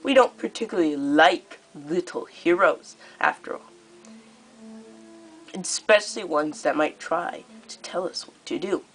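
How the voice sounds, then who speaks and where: A woman's voice: on the low side, measured pace, soft background music, poor audio. A young woman voicing a cruel, theatrical dragon queen in a storybook, gloating and stretching out her words. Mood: menacing, sweet.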